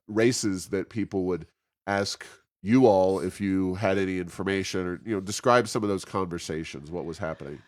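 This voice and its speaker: A man hosting a conversational interview, phrasing his questions in a halting, searching way. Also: deep voice. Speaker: interview host.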